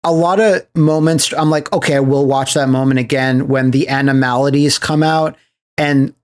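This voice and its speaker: A man's voice: medium pitch, steady tone, normal speed. A man hosting a podcast in casual film chat, telling stories and acting out quoted reactions. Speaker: podcast host. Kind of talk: casual chat about films.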